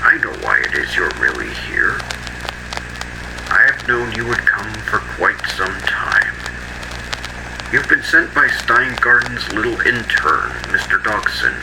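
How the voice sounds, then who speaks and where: A man playing a menacing, arrogant scientist who records secret audio logs in a deliberate, theatrical way with dramatic pauses, for an analog horror drama. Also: lowish voice, sing-song, gravelly, very noisy, exaggerated voice. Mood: quietly threatening.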